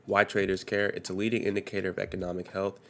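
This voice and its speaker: A man's voice: low-pitched, level tone, fast, fairly bright tone. A young man streaming live forex trading, talking casually while getting over a cold and now and then coughing. He goes through the day's economic news and reads headlines aloud in a loose, conversational way.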